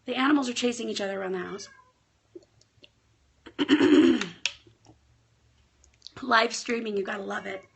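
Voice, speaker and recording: A woman life coach talking casually on a home live stream, a bit hoarse and often checking in with her viewers. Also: on the high side, a little slow, long pauses, slight background noise. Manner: chatty, often asks "right?". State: hoarse, clearing her throat. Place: at home with pets.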